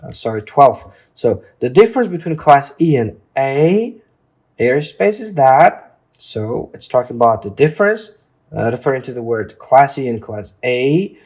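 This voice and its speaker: A man with a mid-pitched voice gives an informal lesson as a tutor. He speaks slowly and haltingly, feeling for words and often adding a quick check for agreement. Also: very animated, a little mellow. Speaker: English exam tutor. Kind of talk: informal lesson, walking through a reading test.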